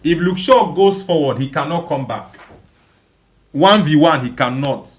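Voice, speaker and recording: Male livestream host, fairly high-pitched, chatty and emphatic, reads viewer messages and replies off the cuff with repeated phrases. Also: expressive, measured pace, slight background noise. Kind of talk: casual live chat, reading viewer comments aloud and replying.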